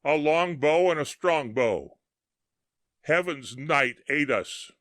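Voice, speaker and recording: A man's voice: on the high side, lively intonation, slow, fairly bright tone, no echo, clean studio sound, voice pushed up. A man voicing a host of ghostly medieval bowmen calling out fervent battle cries. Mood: fervent crying.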